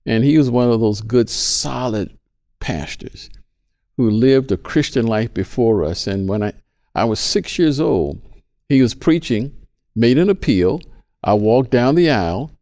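A man in his sixties, a pastor, telling an interviewer about his calling in an earnest, measured way. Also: mid-range voice, animated, warm tone, no room sound, clean studio sound. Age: 60s. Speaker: pastor, guest. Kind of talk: interview talk.

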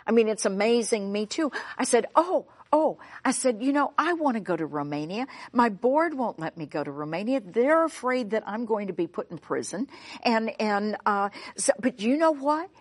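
A woman's voice: a little high, expressive, moderate pace. A middle-aged woman from the United States, a Bible teacher telling a story in a sermon. She speaks emphatically and acts out the dialogue.

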